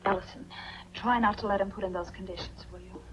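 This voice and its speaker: An actress playing a gentle, guarded young widow with a husky voice and an American accent, in 1940s film style. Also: female voice, mid-range voice, steady tone, fast talker, crowd noise, natural voice. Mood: pleading. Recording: dull 1940s film soundtrack.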